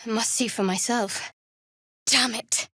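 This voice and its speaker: A woman's voice: a little high, fairly even intonation, unhurried, sharp tone, husky, no echo, full character voice. An actress voicing a guarded, sardonic young female cleric in a video game, with a dry, wry manner. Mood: wary. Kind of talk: video game character voice acting.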